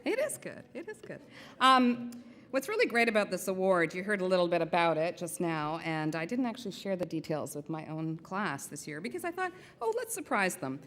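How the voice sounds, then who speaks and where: Woman coordinator at a podium; lower voice, teasing suspense, hall reverb. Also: big pitch swings, fairly quick, a bit bright. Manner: chatty, playful, building suspense. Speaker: program coordinator presenting an award. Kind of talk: award ceremony speech. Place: event hall.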